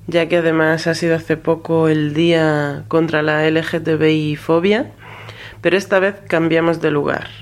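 Flat-toned woman introducing a segment. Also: sits low. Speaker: segment presenter.

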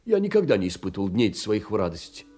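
An actor playing a tender, fussing, humble middle-aged clerk in a Russian literary stage drama, speaking in sentimental endearments. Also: male voice, on the low side, very animated, fast talker, dark tone, soft background music. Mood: doting, happy.

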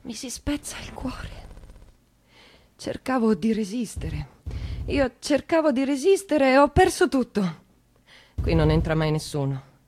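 An actress playing an anguished, trapped woman in a theatrical monologue, with a Roman accent and fragmented, repetitive delivery that moves between hushed and shouted. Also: on the high side, big pitch swings, measured pace, long pauses, a little hiss or hum. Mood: hushed dread.

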